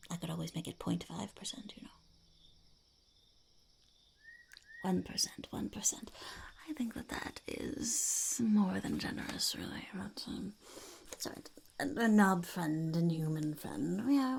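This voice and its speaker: A woman acts out a scheming little goblin businesswoman in an ASMR roleplay. She is blunt and mock-grand, speaking softly in a heavily creaky voice that keeps dropping to a whisper. The close recording carries a faint layer of noise. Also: fairly low pitch. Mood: grudging, stingy. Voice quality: creaky, often breaking into whisper. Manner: third-person self-reference, mock-grand, haggling. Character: scheming, blunt, self-important female goblin entrepreneur.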